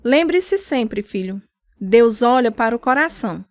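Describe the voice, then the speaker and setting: High-voiced devout, weary mother. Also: animated, a little slow, dry recording. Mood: gently admonishing. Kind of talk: radio drama acting.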